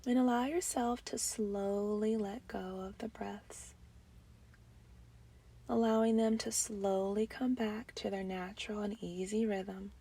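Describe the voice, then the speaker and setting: A woman leading a guided breathing meditation, gentle and soothing, with soft breathy phrases that often trail into a near-whisper and long pauses. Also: mid-range voice, fairly even intonation.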